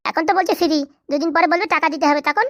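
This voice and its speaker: A woman's voice: extremely high pitch, racing, a bit bright, no room sound. A woman voicing a blunt, scolding, suspicious village housewife in a comedy cartoon. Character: sharp-tongued, suspicious, bossy village housewife.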